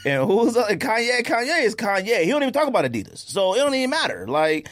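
A man with a very lively, swinging pitch talks as a podcast co-host. His delivery is casual and slangy, and he states his opinions plainly in loose, conversational chat. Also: quick pace.

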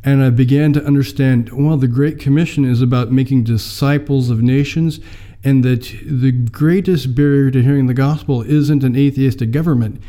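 An American man in his fifties, telling how he came to mission work in a measured, filler-laden interview. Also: medium pitch, steady tone, dark tone. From United States. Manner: measured storytelling with many fillers. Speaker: mission mobilizer, guest. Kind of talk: personal testimony in an interview.

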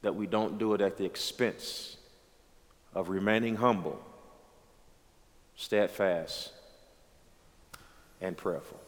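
A man's voice: fairly low pitch, takes it slowly, long pauses, big-room sound. A man in his late thirties, a pastor, talking in a dialogue with an emphatic, preacherly way of speaking. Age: 30s.

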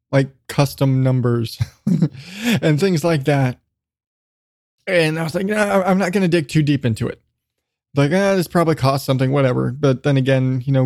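High-voiced man, casual rambling podcast host. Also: darkish tone, no echo, clean studio sound. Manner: rambling, self-deprecating; casually profane. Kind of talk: casual solo podcast chat.

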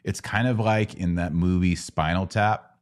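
A man with a low voice hosts a casual live Q&A. He explains things in a didactic way, step by step, posing questions and offering everyday analogies as he goes. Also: fairly even intonation, measured pace, a little hiss or hum. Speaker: podcast host answering Bible questions.

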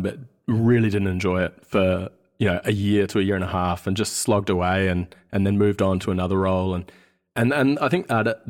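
An Australian man in his thirties chatting casually as a podcast guest, hesitant and searching for his words. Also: fairly low pitch. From Australia, born in New Zealand. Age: 30s. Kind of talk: casual interview chat.

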